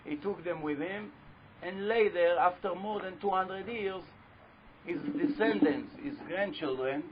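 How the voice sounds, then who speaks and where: A man with a loud voice giving a religious talk to a live audience, telling a story and putting questions to the listeners. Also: high-pitched, unhurried, a little hiss or hum. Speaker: religious teacher speaking to an audience. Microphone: handheld or stand microphone. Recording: dull lo-fi live recording.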